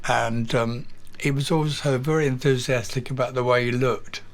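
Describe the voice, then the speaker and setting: Older English man, interview guest; halting, filler-heavy storyteller. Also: mid-range voice, level tone. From England. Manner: halting, with many fillers and repeated words. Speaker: guest, drummer.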